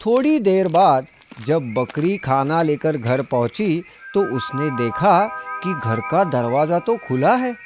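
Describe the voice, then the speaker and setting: Animated, higher-pitched male children's storyteller. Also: slow, music in the background. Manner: expressive, dramatic storytelling. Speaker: storyteller narrator. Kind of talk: scripted children's story narration.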